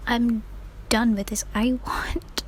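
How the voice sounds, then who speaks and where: A woman's voice: a little high, level tone, slow pace, slight background noise. A young adult woman giving casual, slangy, reactive commentary while playing a video game.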